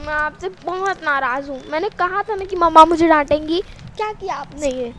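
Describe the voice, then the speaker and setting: A small girl, sulky and close to tears, pleads and stammers in a doll story, put on by a woman. Her voice is very high. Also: moderate pace, a bit bright, a little hiss or hum. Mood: pleading.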